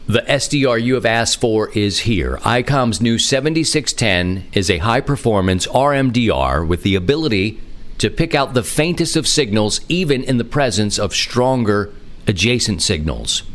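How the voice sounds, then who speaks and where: Podcast host, male, lower register, monologue and sponsor-read delivery. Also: animated, moderate pace.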